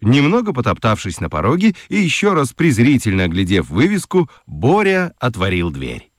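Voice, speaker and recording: A man narrating a children's radio play as an expressive, scripted storyteller. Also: mid-range voice, moderate pace, no echo, clean studio sound.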